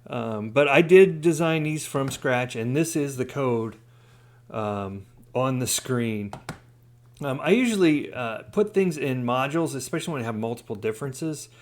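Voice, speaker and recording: A man's voice: mid-range voice, lively intonation, normal speed. A man with a mid-range voice hosts a hobby how-to. He speaks informally, as in a casual demonstration. He explains his work step by step. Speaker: DIY hobbyist video host. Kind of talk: casual hands-on tutorial talk.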